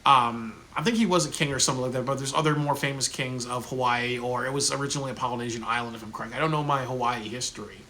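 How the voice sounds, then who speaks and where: A man's voice: mid-range voice, steady tone, fast talker, hardly any pauses, sharp tone. A man giving a casual, rambling spoken review, full of fillers like "um" and "you know" and frequent restarts. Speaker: video reviewer.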